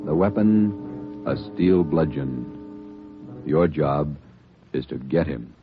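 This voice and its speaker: A man giving solemn, deliberate scripted narration as an old-time radio drama announcer. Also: rumbling low, expressive. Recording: muffled old radio recording. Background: music.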